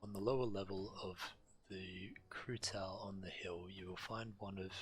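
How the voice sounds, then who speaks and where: A man's voice: deep voice, steady tone, moderate pace, a little hiss or hum. A man reading quest text aloud and commenting as he streams a fantasy game.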